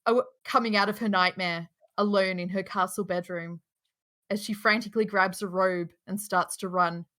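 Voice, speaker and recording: A woman's voice: medium pitch, fairly even intonation, normal speed, a bit bright. A woman narrating the stage directions of a screenplay at a live table read.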